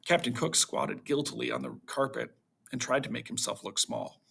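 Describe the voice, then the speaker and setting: A man reading a children's storybook aloud to schoolchildren in an animated narrating style. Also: medium pitch, moderate pace, gravelly, slightly rough.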